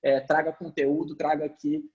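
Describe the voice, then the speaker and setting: A man's voice, pitched a little higher than most men's, comes through a video call. He explains his views animatedly, and his sentences keep trailing into fillers. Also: little pitch movement, moderate pace, highs cut off. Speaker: panelist. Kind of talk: webinar panel discussion.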